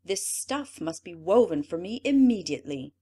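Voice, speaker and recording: A woman's voice: fairly low pitch, very animated, moderate pace, warm tone, husky. Vain emperor, as a woman narrator reads him. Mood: musing. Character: vain proud male emperor.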